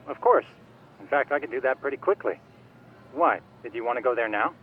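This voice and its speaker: A man's mid-pitched voice comes through a dull phone filter with some noise. a clever, helpful game informant, articulate and slightly formal. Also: lively intonation, fairly quick. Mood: helpful, matter-of-fact. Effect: phone call filter. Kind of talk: video game dialogue. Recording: muffled phone call with background noise.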